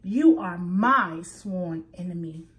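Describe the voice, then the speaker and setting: A woman voicing a grumpy, quarrelsome male squirrel who shouts indignantly in a storybook. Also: on the low side, animated, takes it slowly. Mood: accusing.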